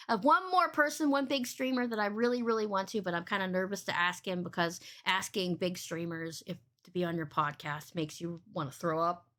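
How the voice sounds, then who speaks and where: Bubbly rambling woman podcast host. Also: a bit bright. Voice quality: big boomy voice. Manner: bubbly, swears freely, rambling. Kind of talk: casual livestream podcast chat.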